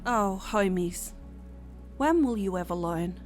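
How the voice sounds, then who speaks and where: A woman's voice: mid-range voice, lively intonation, slow pace, a little mellow, faint music behind. A woman playing an affectionate, easygoing auntie goddess with a casual, soothing manner in an audio drama. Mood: gentle, affectionate.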